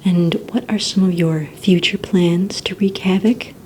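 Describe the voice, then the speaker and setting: A woman playing a polite, organized receptionist at a supervillain recruitment center in a soft-spoken, breathy ASMR roleplay, with gentle, hesitant, courteous questioning. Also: fairly low pitch, fairly even intonation, unhurried, background noise, close to an everyday voice. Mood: gentle, businesslike. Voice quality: soft, breathy, near-whisper.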